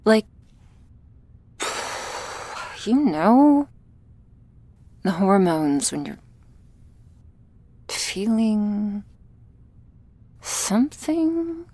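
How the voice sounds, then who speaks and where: Shy female vampire played by a woman for ASMR roleplay: very slow, bashful, halting delivery, soft breathy voice, affectionate and easily flustered. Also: medium pitch, big pitch swings, no echo, heavily acted. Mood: embarrassed, defensive. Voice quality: soft, breathy, often whispery. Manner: bashful, halting, nervously giggly.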